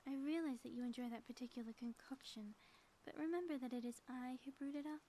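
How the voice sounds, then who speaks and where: A woman's voice: sits high, fast, dark tone, slight background noise, natural voice. A woman playing a theatrical, gloating villainess in a scripted ASMR audio roleplay, with florid, melodramatic delivery. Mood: menacing, gloating. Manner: grandiose, florid, melodramatic phrasing.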